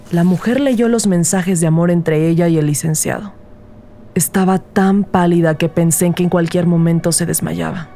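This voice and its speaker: Actress as rookie female detective, low mellow voice, crime podcast narration, measured storytelling. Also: slight background noise, natural voice. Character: young rookie female detective narrating her first case. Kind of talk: scripted first-person crime audio drama narration.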